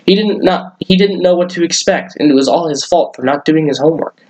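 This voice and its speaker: Male children's story reader, slightly high voice, read-aloud storytelling delivery. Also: brisk, a little mellow, low-quality recording. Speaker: children's story-time host reading aloud.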